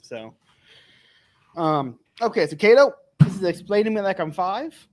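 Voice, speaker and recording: A man's voice: high-pitched, expressive, a little slow, a little mellow. A man hosting a live podcast, chatty and rambling, laughing often and a bit tipsy. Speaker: podcast host. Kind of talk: casual chat.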